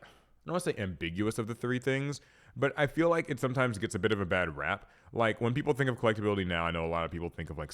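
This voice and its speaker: A man's voice: lowish voice, a bit bright. A young man hosting a card game podcast, talking casually on his own, a bit stuffy from a cold, rambling with lots of fillers and restarts. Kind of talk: casual solo talk to camera.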